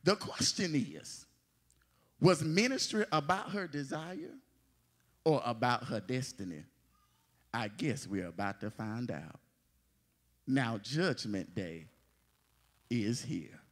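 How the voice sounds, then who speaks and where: Slow, animated preacherly male narrator. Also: mid-range voice. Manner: deliberate, preacherly. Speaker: narrator of a church play. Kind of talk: scripted dramatic narration. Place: lectern in a large church hall.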